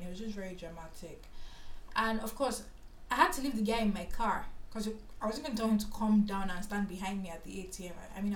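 Chatty Nigerian woman in a roomy echo. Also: mid-range voice. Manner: chatty, animated, filler-heavy storytelling. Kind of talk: casual storytelling chat. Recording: roomy echo with faint background hiss.

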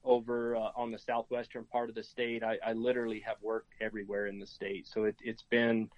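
A dry, slightly muffled recording of a middle-aged American man being interviewed. He speaks casually in a folksy, halting way. His pitch stays fairly flat from phrase to phrase. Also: no room sound. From New Mexico, United States. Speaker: podcast guest, game and fish officer. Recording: dry, dull lo-fi sound.

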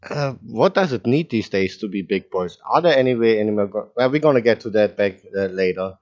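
A man's voice: fairly low pitch, lively intonation, fairly quick, a little mellow. Teasing male podcast host, dull audio. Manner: teasing, playful. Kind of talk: casual chat.

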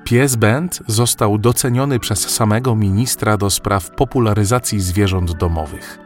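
A man narrating a scripted children's story in an expressive, wry way. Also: on the low side, measured pace. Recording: faint music behind narration.

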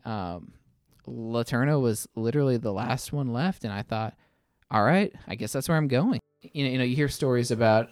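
A man in his thirties answering interview questions conversationally, often halting and restarting his words. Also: mid-range voice, dry recording, studio quality. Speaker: interview guest, attorney.

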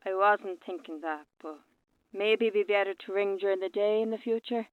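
A woman's voice: mid-range voice, steady tone, moderate pace, no room sound. Sleepy woman, polite, firm, restrained, narrow telephone sound, very dry, radio drama. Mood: politely reluctant. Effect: telephone filter. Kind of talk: naturalistic radio drama acting.

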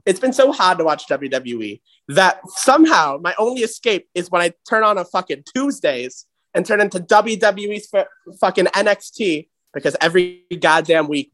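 A man hosting a comedy wrestling show, ranting with heavy profanity and excitement. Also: on the high side, moderate pace, few pauses. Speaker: host.

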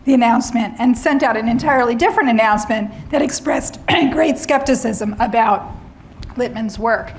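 A middle-aged woman lecturing on academic freedom, slightly hoarse, often clearing her throat and adding wry asides. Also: sits high, a little hiss or hum, rough recording.

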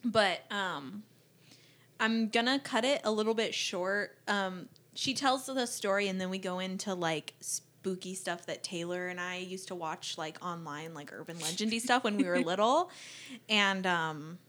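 A woman's voice: mid-range voice, measured pace, a bit bright. A woman in her twenties hosting a podcast, chatty and peppered with "like" and "um". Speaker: podcast host. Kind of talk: casual chat.

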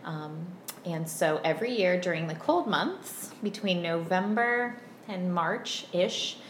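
A woman in her thirties from Virginia, chatting as a podcast guest in a friendly, unhurried way with many ums. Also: on the low side. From Virginia, USA. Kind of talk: casual interview chat. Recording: roomy echo, faint background hum.

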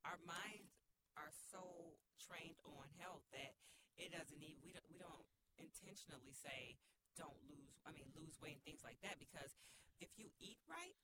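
A woman's voice: low-pitched, animated, normal speed. A woman in her late thirties chatting casually as co-host of a health radio show. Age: 30s. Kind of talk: casual chat. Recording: very noisy background throughout.

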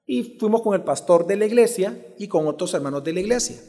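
A Salvadoran pastor with a high-pitched voice delivers a sermon, moving from a personal story to emphatic preaching. He keeps asking his congregation questions and answering them himself. His voice rings out through a reverberant church hall. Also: lively intonation, fairly quick, dark tone. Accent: Salvadoran Spanish.

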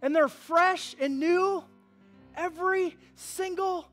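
A pastor in his thirties preaching a sermon, animated, swinging from hushed to loud and calling for responses from the congregation. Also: extremely high pitch, takes it slowly, soft background music. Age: 30s.

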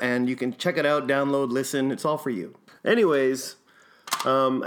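A man's voice: medium pitch, fairly bright tone. A man hosting a podcast in a casual, informal, enthusiastic and slangy way. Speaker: podcast host.

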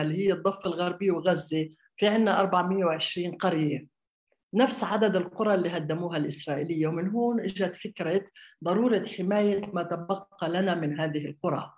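A woman raised in Jordan, an architect giving a conversational public talk, hesitant, with many fillers and restarts. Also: on the low side. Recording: echoey room.

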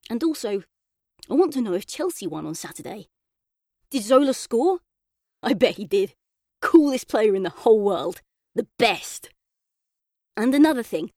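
A woman reading a children's audiobook as a chatty, earnest young boy telling his own story, expressive and colloquial. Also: on the high side, no echo, studio quality. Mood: urgent, eager, then puzzled, sleepy. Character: boy narrator in a coma, chatty, frustrated, yearning. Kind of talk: first-person children's audiobook narration.

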